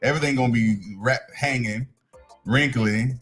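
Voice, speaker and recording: A man's voice: mid-range voice, fairly even intonation, normal speed, fairly bright tone, faint music behind. A man in his thirties co-hosting a podcast, blunt and teasing in casual slangy talk. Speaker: podcast co-host. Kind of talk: casual chat.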